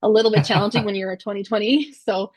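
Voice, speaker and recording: A woman's voice: mid-range voice, fairly even intonation, quick pace, a little mellow, no echo, low-quality recording. A young woman, an optometrist and exam board member from Canada, answering students' questions on a video call in a friendly, informal way. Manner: friendly, informal, with laughs and fillers.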